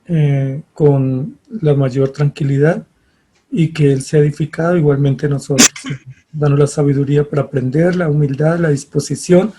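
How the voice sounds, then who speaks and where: A man leading an opening prayer as a pastor, earnest, with a steady listing cadence. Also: a little high, husky. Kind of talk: spoken prayer.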